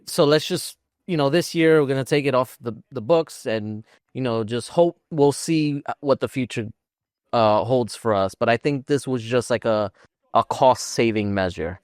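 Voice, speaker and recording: A man's voice: mid-range voice, normal speed, clear, resonant tone, no room sound, clean studio sound. A man co-hosting a casual gaming podcast, talking in a rambling, opinionated way peppered with "like". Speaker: podcast co-host.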